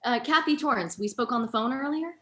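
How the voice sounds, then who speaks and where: A woman playing a brisk, stern daycare boss in her late thirties in a dramatic script reading. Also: fairly high pitch, fairly bright tone, natural voice. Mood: polite, brisk.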